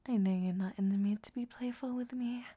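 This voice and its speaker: A woman playing a flirtatious, cunning ancient vampire in a roleplay audio drama, teasing and sardonic in a soft, breathy half-whisper. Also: fairly high pitch, fairly even intonation, a little fast. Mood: playful, flirtatious.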